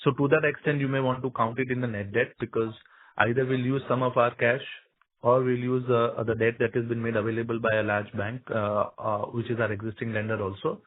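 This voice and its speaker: A man's voice: mid-range voice, level tone, brisk, no room sound. A man with an Indian accent giving matter-of-fact answers as a finance executive on an earnings call, restating his points. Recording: dry, tinny phone line.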